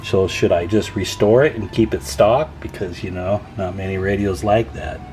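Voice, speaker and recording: Low-pitched man, folksy radio repairer. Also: moderate pace, darkish tone, soft background music. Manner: folksy, chatty, enthusiastic bragging. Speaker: radio repairer and video host. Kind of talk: casual hands-on show-and-tell commentary.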